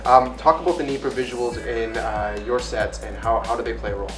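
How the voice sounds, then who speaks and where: A man's voice: medium pitch, steady tone, brisk. A man hosting a casual interview, asking questions and chatting. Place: indoors, studio interview. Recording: echoey room, music over speech. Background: music.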